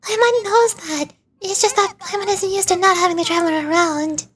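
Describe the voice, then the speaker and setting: Woman plays tiny game fairy sidekick: very high, excitable, fretful, exclaiming. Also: brisk, a little hiss or hum. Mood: anxious. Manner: speaks of herself in third person, exclaiming. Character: excitable, anxious little fairy companion.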